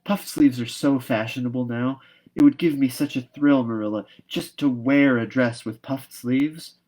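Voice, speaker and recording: A man's voice: medium pitch, normal speed, a little mellow, voice pushed up, lightly acted, rough recording. Young orphan girl for a storybook, read by a man: imaginative, wistful, earnest, given to dramatic pleading.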